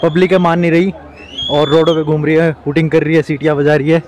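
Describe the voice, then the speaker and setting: A young man vlogging on a crowded street at night, talking loudly and excitedly into a handheld phone. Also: fairly high pitch, fast talker. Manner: loud, excited, chatty. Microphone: phone camera at arm's length. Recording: loud crowd noise outdoors. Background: cheering crowd.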